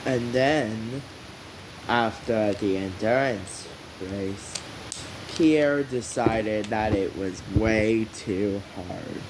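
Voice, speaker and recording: Story narrator, very slow and halting, mid-range voice, noisy hissy home recording. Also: mid-range voice. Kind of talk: scripted story narration, read aloud slowly with pauses.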